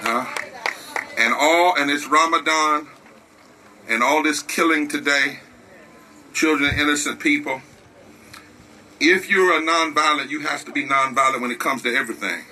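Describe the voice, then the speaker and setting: A man with a high, strikingly bright and piercing voice leads an outdoor protest rally. He speaks in a rousing, preacher-like cadence, building each line toward the crowd. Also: normal speed, long pauses, slight background noise, rough recording. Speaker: rally leader.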